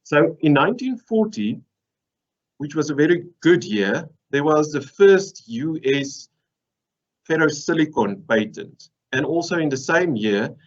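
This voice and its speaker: A man in his thirties from South Africa, speaking English with an Afrikaans accent, giving a conference presentation with dry jokes. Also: medium pitch. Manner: dry joking, lively lecturing. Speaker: process engineer presenter. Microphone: video call webcam. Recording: dull lo-fi video call audio.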